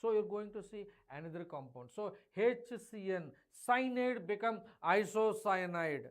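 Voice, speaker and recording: High-voiced Indian chemistry teacher lecturing. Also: male voice, expressive, moderate pace. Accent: Indian English. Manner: emphatic, addresses students directly, mixes in Hindi phrases. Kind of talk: live online exam revision lecture.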